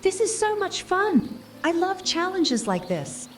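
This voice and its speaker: A woman's voice: very high voice, sing-song, unhurried, faint music behind, exaggerated voice. A woman playing a cheerful, eager young female adventurer in a scripted fantasy audio drama. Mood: delighted.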